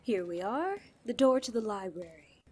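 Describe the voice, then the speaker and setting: A woman's voice: mid-range voice, expressive, normal speed, a little mellow, a little hiss or hum, close to an everyday voice. A woman playing a loyal, guarded royal knight in a fantasy audio drama, speaking formally and with composure. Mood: firm, guarded. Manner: formal, composed, coolly defiant. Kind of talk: fantasy audio roleplay acting.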